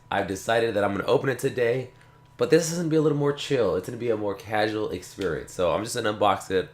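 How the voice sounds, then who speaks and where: A man hosting a casual tech video, rambling in a relaxed way and repeating himself, with a slight cough. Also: fairly low pitch. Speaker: tech channel host. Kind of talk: casual unboxing talk to camera. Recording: echoey room.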